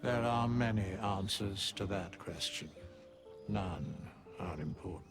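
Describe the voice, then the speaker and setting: An ancient undead keeper of souls speaks in a fantasy game. His low voice crackles with gravel and moves very slowly. The phrasing is archaic, grave and cryptic, over faint music. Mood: solemn, detached. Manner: slow, archaic, grave, measured speech. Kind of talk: fantasy video game acting.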